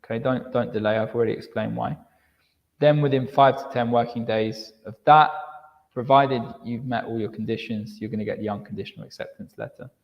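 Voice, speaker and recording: A man presenting university admission steps in a live online session, explaining clearly, often repeating words as he restarts and checking in with "okay". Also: medium pitch, steady tone, a little fast, big-room sound, lo-fi sound.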